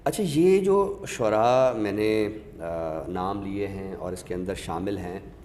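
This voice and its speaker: A man with a Pakistani accent, giving a literary talk with recited verse in a measured, explanatory way with pauses. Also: on the low side, expressive, darkish tone. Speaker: host of an Urdu literature show. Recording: echoey reverberant room, faint noise.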